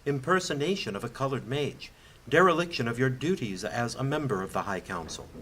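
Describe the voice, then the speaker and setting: A man's voice: medium pitch, animated, a little fast, fairly bright tone, a little hiss or hum, natural voice. An actor playing a stern male mage who reads out formal charges in a commanding, proclamatory way.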